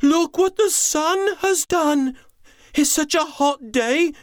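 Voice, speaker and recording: A woman voicing an excited, bossy male chief elephant in a children's story, loud and exclaiming. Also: on the high side, takes it slowly, dry recording, clean studio sound, pitched above the performer's own voice. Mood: alarmed.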